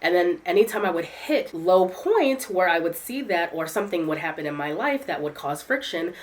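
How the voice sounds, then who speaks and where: Woman, low pitch, congested head cold, casual vlog, candid, motivational. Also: lively intonation, moderate pace, slight background noise. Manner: candid and motivational, chatty address to viewers. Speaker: content creator. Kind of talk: casual talking-to-camera vlog.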